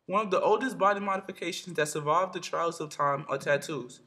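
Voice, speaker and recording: A man reading a documentary narration in a steady, read-aloud way. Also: on the high side, brisk.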